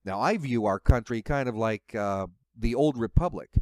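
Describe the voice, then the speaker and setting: A man's voice: medium pitch, normal speed, dry recording. A man giving opinionated political commentary in an emphatic, rhetorical, preachy way.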